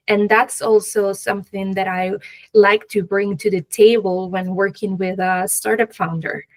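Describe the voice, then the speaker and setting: A woman with a Peruvian accent, talking as a guest expert in a conversational interview, halting and often laughing lightly mid-sentence. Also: medium pitch, poor audio. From Peru. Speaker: guest expert, venture capital professional.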